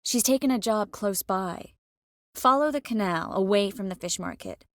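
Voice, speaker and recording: A young sorceress from a fantasy video game, recorded very dry and close. She is caring and passionate with a wry edge, speaking at a medium pitch in an intimate, expressive, clearly articulated way. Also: moderate pace, no echo. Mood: measured, grave.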